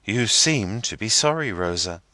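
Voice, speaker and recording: A man voicing a stiff, half-grumbling young gentleman fiancé. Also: lowish voice, lively intonation, no room sound. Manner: measured, slightly sulky delivery.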